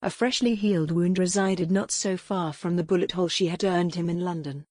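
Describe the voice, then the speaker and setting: Low-pitched woman narrating a romance audiobook. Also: steady tone, fairly quick, a little mellow, no room sound, clean studio sound. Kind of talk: scripted dramatic romance narration.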